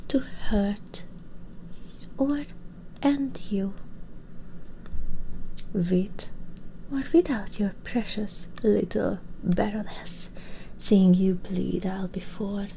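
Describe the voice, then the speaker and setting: A woman voicing a menacing, vengeful female vampire in an intimate ASMR roleplay, breathy and whispery, taunting and condescending with deliberate phrasing, close to the microphone. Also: mid-range voice, fairly even intonation, mellow, a little hiss or hum, rough recording. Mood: cold, amused menace. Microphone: close binaural microphone.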